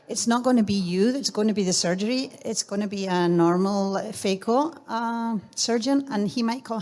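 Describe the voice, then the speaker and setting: A woman's voice: medium pitch, a little mellow. A female eye surgeon from Spain on a conference panel, speaking earnestly and often restarting her sentences.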